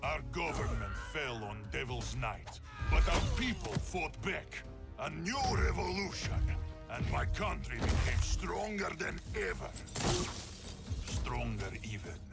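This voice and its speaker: A man's voice: medium pitch, measured pace, creaky, hardly any pauses, loud music behind the voice. A man with a Russian accent playing a huge, proud soldier-strategist in a video game, speaking ponderously. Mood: proud reminiscence. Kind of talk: scripted dramatic narration.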